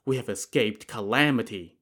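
A man voicing an anxious, polite male fugitive who speaks softly and pleads, in a told story. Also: fairly low pitch, moderate pace. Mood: relieved. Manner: soft-spoken, pleading.

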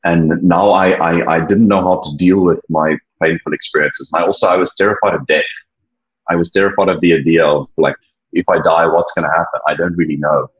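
A young South African man whose first language is Afrikaans, sharing his story earnestly, with frequent halting restarts. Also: low voice, steady tone, husky. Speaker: interview guest. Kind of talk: personal testimony, reflective. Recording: dull lo-fi sound.

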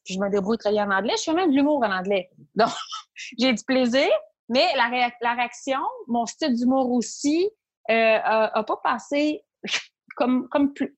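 A woman from Quebec with a Québécois French accent, an entrepreneur telling her story in an interview, lively and animated, often quoting her own inner voice. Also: on the high side, normal speed. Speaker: entrepreneur guest. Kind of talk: interview conversation.

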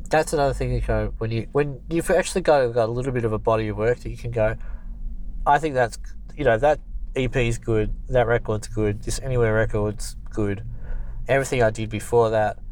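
A man's voice: medium pitch, brisk, a little mellow, a little hiss or hum. A man, a singer-songwriter, talking casually and rambling with many fillers and restarts. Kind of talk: casual conversation.

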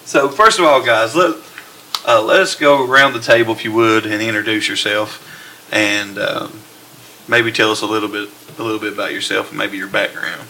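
A man's voice: lowish voice, steady tone, sharp tone, slight background noise, poor audio. A man hosting a podcast, chatting casually with guests and using many fillers. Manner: friendly, halting with fillers. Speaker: podcast host. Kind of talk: casual interview chat.

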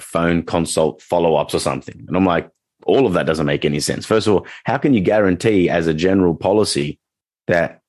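A man with a deep, low voice talks through his thoughts on the trade in a loose, rambling way, circling back with restarts and "like"s. The sound is dry and close, with no room around it. He speaks as a working dog trainer in an easygoing podcast chat. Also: darkish tone, no echo. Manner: rambling, frequent restarts and fillers.

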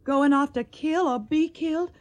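A woman's voice: sits high, normal speed, close to an everyday voice. A woman playing an earnest, tender young farm woman with a Southern accent in a radio drama. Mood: pleading, reproachful.

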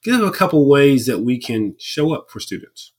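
Brief: a man, mid-pitched, stuffy, energetic podcast host. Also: normal speed, husky. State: stuffy nose. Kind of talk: casual podcast chat.

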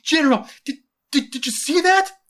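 A man voicing an excitable, awestruck soldier in a story, yelling excitedly. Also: extremely high pitch, drawn-out words, pitched above the performer's own voice, exaggerated voice. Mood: awestruck alarm.

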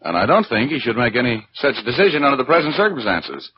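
An actor playing a brash, stubborn wisecracking private detective in a 1940s radio drama. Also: male voice, medium pitch, big pitch swings, fast talker, a crowd in the background, lightly acted. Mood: insistent. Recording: muffled, noisy 1940s radio recording.

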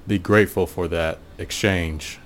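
An American man giving a casual, reflective solo monologue in an unhurried, musing way, often pausing mid-sentence. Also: low voice, fairly even intonation, a bit bright, slight background noise. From Portland, USA. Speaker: podcast host.

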